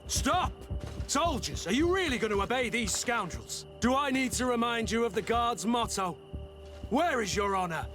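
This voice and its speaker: A man's voice: extremely high pitch, lively intonation, moderate pace, fairly bright tone, soft background music. An actor playing an honour-bound soldier captain in a video game, protective of his recruits, solemn and intense. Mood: commanding.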